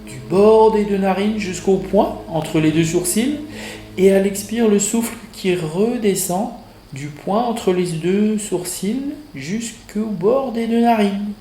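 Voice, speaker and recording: A man with a fairly high voice guides a relaxation session very slowly. He gives short, measured instructions with pauses between them. The recording is roomy and echoing. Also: warm tone. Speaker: yoga nidra instructor. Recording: roomy echo, music behind the voice.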